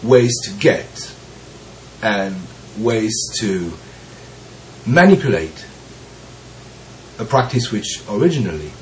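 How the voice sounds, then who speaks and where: A man gives a teaching talk at a very unhurried pace, and his voice is still deepened from a recent bout of bronchitis. He speaks gently and leaves long pauses between phrases. A faint hiss sits under the recording. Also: sing-song, low-quality recording. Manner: slow, pausing, gentle with soft laughs. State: recovering from bronchitis, deep voice. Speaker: Zen teacher. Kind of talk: slow reflective teaching talk.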